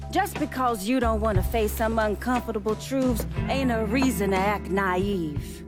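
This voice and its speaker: An actress playing a shrewd, proud female crime boss in a game drama, with a measured, commanding delivery. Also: medium pitch, lightly acted. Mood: proud, scornful. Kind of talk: video game cutscene drama acting. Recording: music behind the voice.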